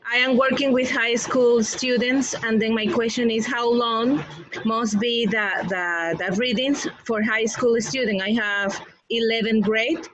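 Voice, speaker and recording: A faintly noisy online-call recording carries a woman with a Panamanian Spanish accent. She is a teacher putting a question in halting, non-native English, rephrasing as she goes. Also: on the high side, steady tone, fairly bright tone. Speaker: high school teacher asking a question.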